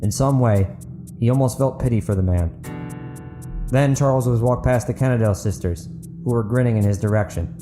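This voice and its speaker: A man's voice: medium pitch, fairly quick. A man narrating and hosting an audiobook fiction podcast. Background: guitar music.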